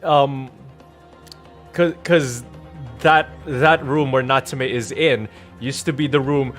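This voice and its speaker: Excitable man streaming a game over music. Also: mid-range voice, slow. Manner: excitable, slangy. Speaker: streamer playing a visual novel. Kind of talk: casual gaming commentary.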